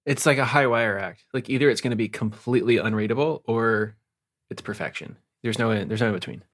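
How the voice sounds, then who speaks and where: A man's voice: medium pitch, brisk, a bit bright, no echo, clean studio sound. A man co-hosting a book podcast, dropping wry, sardonic remarks into the conversation. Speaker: podcast co-host. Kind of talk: casual book discussion.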